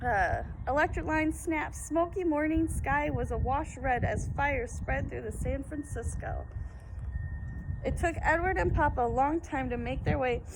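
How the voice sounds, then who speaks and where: High-voiced woman presenting children's storytime. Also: a little slow, gravelly, background noise. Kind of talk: children's read-aloud and casual demonstration.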